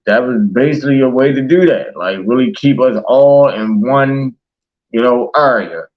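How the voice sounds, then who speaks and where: A man's voice: medium pitch, muffled recording. A man in casual, passionate conversation as a talk show host, speaking emphatically with slang and swearing. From Baltimore, United States.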